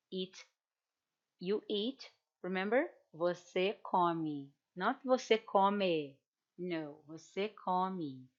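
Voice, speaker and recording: Slow Brazilian woman teaching Portuguese. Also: lowish voice, highs cut off. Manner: patient, repeats example words slowly. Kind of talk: online language workshop.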